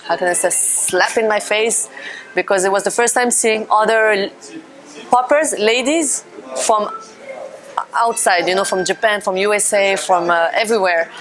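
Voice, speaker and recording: A woman's voice: medium pitch, moderate pace, a bit bright. French woman dancer, hesitant storytelling. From France. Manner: hesitant, many fillers and restarts. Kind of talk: casual personal account.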